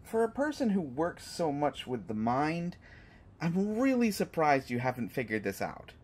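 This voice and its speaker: A man playing a flirtatious, teasing superhero who is secretly smitten, in a halting, playful conversational way for a roleplay audio drama. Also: a little high, big pitch swings, husky. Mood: smug, teasing. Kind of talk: roleplay audio drama, acted monologue to listener.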